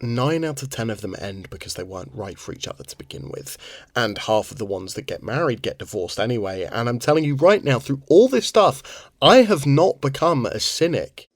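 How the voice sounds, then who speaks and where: A man's voice: medium pitch, expressive, moderate pace, no room sound. A man playing a jaded but earnest man venting about romance, emphatic and exasperated, in a dramatic monologue.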